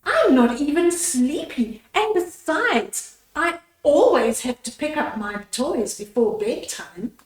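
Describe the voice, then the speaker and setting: A woman's voice: sits high, big pitch swings, roomy sound, exaggerated voice. A woman voicing a pleading, stubborn little bunny girl in a children's storybook, drawing out her protests. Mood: pleading, protesting. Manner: drawn-out, protesting. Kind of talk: children's storybook read-aloud.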